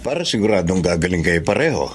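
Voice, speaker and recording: An actor playing a calm, matter-of-fact doctor in a drama, speaking in a measured, clinical way. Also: male voice, deep voice, husky, exaggerated voice. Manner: measured, clinical, code-switching with English. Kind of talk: Filipino melodrama acting.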